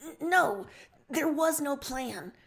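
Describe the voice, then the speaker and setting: Woman playing distressed young alien boy, high-pitched, hesitant, pleading, story reading. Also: lively intonation, takes it slowly, gravelly, a bit bright, full character voice. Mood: quiet, defensive.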